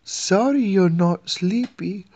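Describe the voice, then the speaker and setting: A man's voice: high voice, slow pace, a little mellow, voice pushed up, full character voice. A man voicing a tired, kindly female flamingo cook in a children's storybook. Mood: yawning, apologetic.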